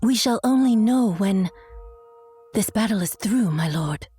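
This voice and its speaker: A woman's voice: medium pitch, lively intonation, measured pace, warm tone, slightly rough, faint music behind. A woman playing a poised, cryptic young lady stargazer in a dramatic video game cutscene. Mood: calm, cryptic.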